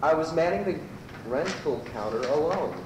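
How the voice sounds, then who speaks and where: A man's voice: fairly high pitch, lively intonation, normal speed, close to an everyday voice. A man playing an earnest, rambling teenage goat who works as a rental clerk in an amateur radio comedy. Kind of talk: amateur old-time radio comedy acting. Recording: echoey, distant, noisy amateur tape.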